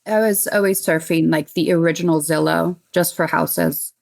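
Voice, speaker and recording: A woman from Northern California speaks casually as an interview guest. Her voice is lowish and husky, with very heavy vocal fry running through it. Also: fairly even intonation, normal speed, a little mellow. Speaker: interview guest, renovation business partner.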